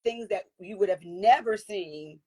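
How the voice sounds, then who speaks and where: A woman's voice: medium pitch, measured pace, warm tone, slightly rough. A woman hosting a casual book interview, enthusiastic and affirming toward her guest. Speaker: interview host.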